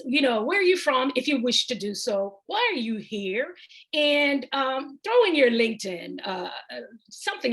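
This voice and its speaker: A woman in her fifties with a Jamaican accent speaks at a conference, chatty and animated. She jokes with her listeners and greets them warmly by name. Her voice sits a little on the high side. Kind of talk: lively conference talk.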